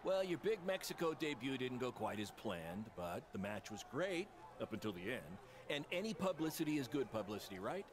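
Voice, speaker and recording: A man's voice: mid-range voice, sing-song, moderate pace, natural voice. A man playing an upbeat, eager, hustling wrestling promoter in a video game cutscene. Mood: encouraging.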